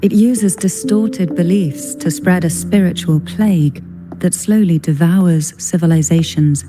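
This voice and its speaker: An actress plays an elegant, enigmatic noblewoman from a video game story. She speaks very slowly in a lowish register, formal and languid, with measured poise. Music plays clearly behind her. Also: lightly acted. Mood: grave, ominous. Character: elegant, enigmatic noblewoman, head of a powerful family.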